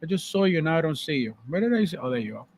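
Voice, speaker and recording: A man's voice: a little high, very animated, very fast. A man hosting a casual live stream about collectibles, conversational, repeating phrases for emphasis and checking in with the listener.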